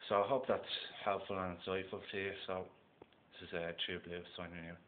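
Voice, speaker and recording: A Dublin Irish man talks in a deep, monotone voice, stopping and restarting often as he explains things at a table indoors. The sound is thin and phone-like, with a faint hiss behind it. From Dublin, Ireland. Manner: halting, many fillers and restarts. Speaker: gold prospecting vlogger. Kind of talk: casual instructional talk to camera. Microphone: phone camera. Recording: tinny lo-fi phone recording.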